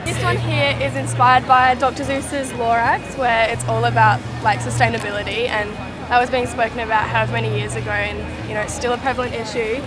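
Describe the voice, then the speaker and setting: A woman's voice: on the high side, fairly even intonation, brisk. A young woman at a street protest casually describing her sign in an interview. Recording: outdoor street crowd with music.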